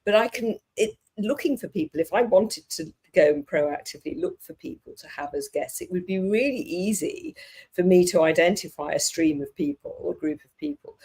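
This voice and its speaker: A podcast host talks with a guest, enthusiastic and a little rambling, filling gaps with "um" and "you know". The voice sits at an even middle pitch. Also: moderate pace, creaky, husky. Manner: enthusiastic, rambling, hedging with fillers. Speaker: podcast and live show host. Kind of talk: casual interview talk.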